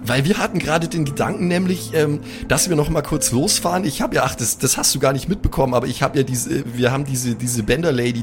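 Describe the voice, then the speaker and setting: A man's voice: mid-range voice, close to an everyday voice. A man playing a laid-back, eager young superhero guy who rambles in casual slang. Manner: casual slang, rambling, says Alter and Digga.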